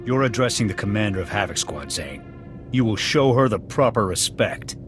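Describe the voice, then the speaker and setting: An actor playing a blunt, loyal army sniper officer with clipped military speech in a video game. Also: male voice, lowish voice, expressive, moderate pace, faint music behind, close to an everyday voice. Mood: irritated.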